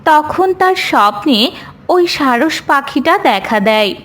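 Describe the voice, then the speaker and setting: A woman's voice: high voice, expressive, slow, a bit bright. A woman narrator reading a scripted cartoon fairy tale. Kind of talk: scripted children's story narration.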